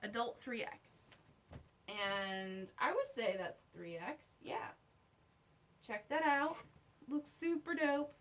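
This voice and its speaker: A woman vlogger talking casually to camera in an unboxing style, slangy and informal, often thinking out loud to herself. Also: on the high side, expressive, takes it slowly, pauses often. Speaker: vlogger reviewing her own merch.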